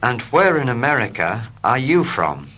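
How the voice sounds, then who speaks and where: A man reading short English dialogues slowly and clearly for learners, line by line. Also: mid-range voice. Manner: slow, clear and expressive. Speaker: English teacher. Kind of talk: slow scripted reading for learners.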